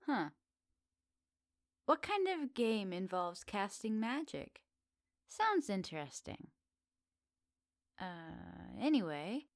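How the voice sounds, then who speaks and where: A flirty witch of the woods, played by a woman, speaks straight to the listener in an ASMR roleplay. She is unhurried, teasing and gently doting, with quaint good manners. The sound is dry and intimate. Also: mid-range voice, big pitch swings, gravelly, no room sound, heavily acted. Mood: curious, teasing. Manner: teasing, gently doting, slightly old-fashioned politeness.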